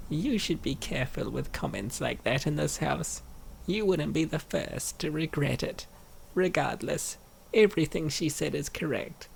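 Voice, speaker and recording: A man reads out comic dialogue in character. His voice is heavily fried and gravelly, set a little higher than most men's. Faint noise sits behind the recording. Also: low-quality recording. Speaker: comic dub voice actor. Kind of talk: acted reading of comic dialogue.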